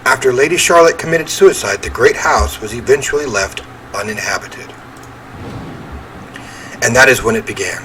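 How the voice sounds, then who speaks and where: A man's voice: mid-range voice, moderate pace, pauses often, sharp tone. A man hosting a horror game playthrough, chatting casually in a rambling, self-deprecating way and reading the story aloud. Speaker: gaming host playing a horror visual novel. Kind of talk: casual let's play commentary with read-aloud narration. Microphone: standalone microphone at a desk. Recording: noisy home gaming recording.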